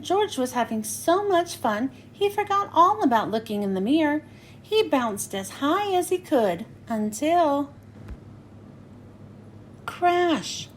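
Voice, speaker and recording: A woman reads a children's picture book aloud in a high, bright-pitched voice. She tells the story slowly with a sing-song, expressive manner, her pitch swooping up and down on each line. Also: a little hiss or hum.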